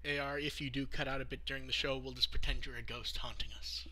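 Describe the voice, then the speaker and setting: Very bright-voiced male podcast host. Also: mid-range voice, little pitch movement, fast. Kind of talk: casual livestream podcast chat.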